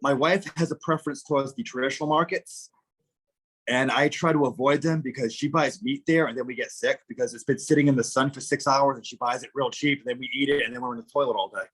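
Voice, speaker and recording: A man from southern Massachusetts with a South Shore accent, telling stories casually on a podcast. His voice is husky and mid-pitched. He slips into other people's voices when he quotes them. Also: fast talker, rough recording. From southern Massachusetts, USA. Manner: animated storyteller acting out quoted voices. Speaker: podcast guest. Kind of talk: casual storytelling interview.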